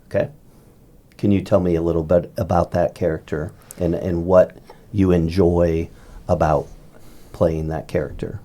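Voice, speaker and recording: A man's voice: deep voice, steady tone, measured pace, mellow. A man hosting a school podcast, asking casual, joking interview questions with plenty of fillers. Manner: friendly, jokey questions with fillers.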